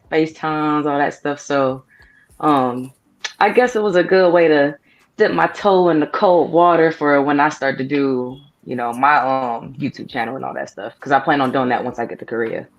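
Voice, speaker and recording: A woman's voice: low voice, normal speed. A young woman chatting casually and a little self-effacingly over a video call. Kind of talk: casual chat. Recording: dull lo-fi video call audio.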